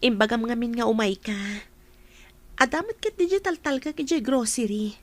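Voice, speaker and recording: A gentle young woman in love, played by a radio actress, with a distinctly high, girlish pitch. She speaks in Ilocano soap-opera style for radio. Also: normal speed, close to an everyday voice. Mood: affectionate, chatty. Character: young woman sweetheart being proposed to, gentle. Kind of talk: Ilocano radio drama acting.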